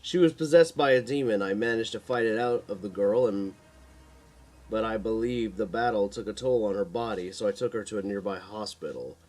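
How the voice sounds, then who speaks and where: A man's voice: on the low side, fairly quick, darkish tone, voice pushed down, lightly acted, low-quality recording. A man voicing a hot-headed young college student with superpowers in an audiobook, exclamatory and easily frustrated. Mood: explaining.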